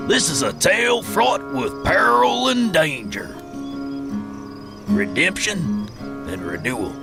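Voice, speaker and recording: An actor playing a folksy, dramatic Western storyteller with drawling cowboy talk in a comic audio drama. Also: male voice, medium pitch, vocal fry, exaggerated voice. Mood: grand, folksy. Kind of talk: scripted comedic Western narration. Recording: loud guitar music behind.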